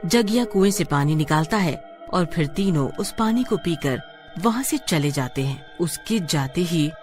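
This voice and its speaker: Lively, lower-pitched woman storyteller. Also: measured pace, soft background music. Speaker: narrator. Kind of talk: dramatic scripted story narration.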